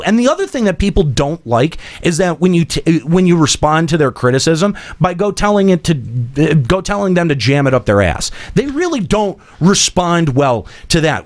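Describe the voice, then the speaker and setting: A man with a slightly high voice hosts a casual podcast. He talks emphatically and bluntly, giving strong opinions in an unscripted, sometimes profane style. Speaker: podcast host. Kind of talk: casual opinionated talk show.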